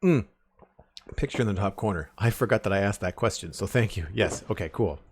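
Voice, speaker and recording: A young man with a deep voice chatting casually on a live stream, thinking aloud as he builds, into a desk mic at home. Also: expressive, a little fast, husky. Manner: rambling, thinking aloud, counts blocks under his breath. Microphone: desk microphone with headphones.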